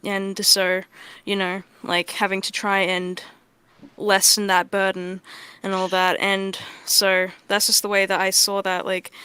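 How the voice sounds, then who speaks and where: A woman's voice: mid-range voice, pushed and bright, smooth, dry recording. A woman talking casually on a livestream as a creator and director, earnest, rambling and freely swearing. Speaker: audio drama creator and director.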